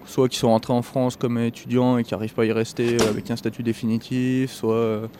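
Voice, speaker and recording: A man's voice: mid-range voice, level tone, fairly quick. A man answering questions casually in a candid street interview. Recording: clear background ambient noise.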